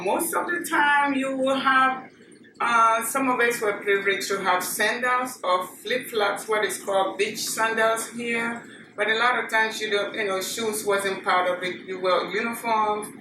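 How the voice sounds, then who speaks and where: A middle-aged woman from Ghana with a Ghanaian accent, recalling her childhood in a measured, plain way with frequent fillers. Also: medium pitch. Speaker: interviewee. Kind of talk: oral history interview. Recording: echoey room, distant mic, noisy.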